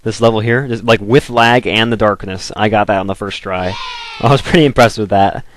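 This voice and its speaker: A young man from the southern United States talks through a computer game in a loose, rambling way, leaning on "like" and restarting his sentences. His voice sits on the low side. The sound is dry and close, with no room echo. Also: fairly even intonation, a little fast, fairly bright tone. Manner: rambling, filler-heavy, restarts, frequent "like". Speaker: gaming video commentator. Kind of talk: casual game commentary.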